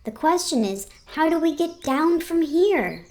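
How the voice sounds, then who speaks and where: A woman's voice: sits high, animated, unhurried, darkish tone, slight background noise, voice pushed up. A woman voicing a nonchalant, playful young girl in a children's storybook, with a cheeky, breezy manner.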